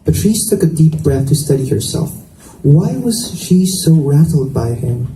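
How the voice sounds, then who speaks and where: A man's voice: a little high, big pitch swings, unhurried, mellow. A man reading scripted narration from a romance novel aloud in a roomy indoor space. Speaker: reader. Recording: echoey room, distant mic, faint noise.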